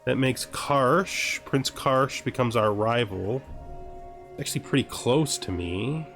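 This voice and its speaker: A man with an average-pitched voice talks through a game as he plays. He thinks aloud in a casual way and sometimes reads out on-screen text. Music plays faintly behind him. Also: takes it slowly, vocal fry, a bit bright. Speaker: gameplay streamer.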